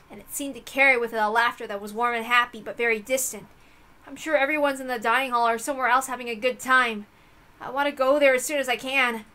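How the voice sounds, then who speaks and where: A woman narrates a visual novel with expressive delivery. Her voice is high and clearly bright, carrying the story as its reader.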